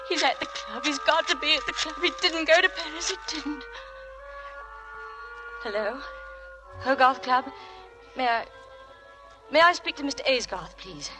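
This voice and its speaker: A woman's voice: sits high, unhurried, pauses often, poor audio. A woman playing an anxious, genteel young wife, earnest and refined in 1940s radio drama style. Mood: shocked, panicking. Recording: old radio broadcast with music.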